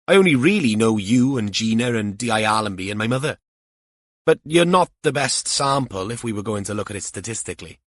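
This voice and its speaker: A man's voice: fairly low pitch, expressive, brisk, no echo, studio quality. A man voicing an earnest, rambling young male police officer in an audiobook. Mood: chatty, earnest. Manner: rambling, literal-minded, protesting.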